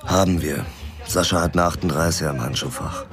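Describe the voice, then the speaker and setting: Deep, terse investigator over music. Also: male voice, fairly quick, fairly bright tone. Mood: weary, determined. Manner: dry, blunt, laconic. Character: terse, weary, dogged male investigator. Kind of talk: German radio drama acting. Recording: music behind the voice.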